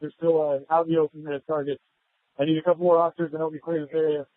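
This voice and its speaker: A man's voice: fairly high pitch, flat delivery, very fast, dry recording. A man speaking as a police officer, reporting over police radio. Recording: thin narrow police scanner radio.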